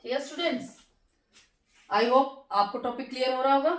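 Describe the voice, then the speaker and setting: A hoarse woman teaching finance in a classroom-style lecture, asking questions and answering them herself and slowing down over English terms. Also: high voice, recorded from a distance. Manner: slows down to spell out English terms. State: hoarse, sore throat. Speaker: economics teacher. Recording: echoey room, voice from off mic.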